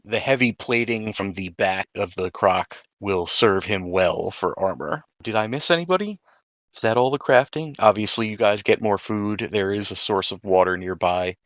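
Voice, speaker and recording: Male game master, lower register, narrating tabletop adventure, dry recording. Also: moderate pace, dry recording.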